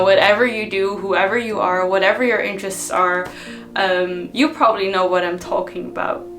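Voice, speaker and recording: A woman from the Netherlands, giving an unhurried, reflective motivational talk to her viewers with frequent ums. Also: medium pitch, a bit bright. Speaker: personal development vlogger.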